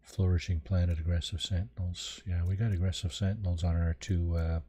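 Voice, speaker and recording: A man streaming a game in casual live commentary, with a low deep voice, talking close into a desk mic with a pop filter and trailing off with ums. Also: brisk, breathy edge. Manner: relaxed, muttering, trails off with ums.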